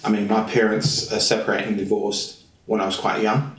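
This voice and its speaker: A man's voice: lowish voice, little pitch movement, moderate pace. A man, a guest in a casual interview, talking in a rambling, hesitant way with lots of fillers and repeated phrases. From England. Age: 50s. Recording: roomy echo.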